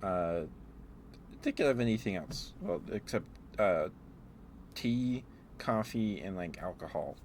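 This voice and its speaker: Low-voiced man, rambling ASMR chat. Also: very animated, slow pace, long pauses, darkish tone. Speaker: ASMR streamer. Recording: clearly audible background noise.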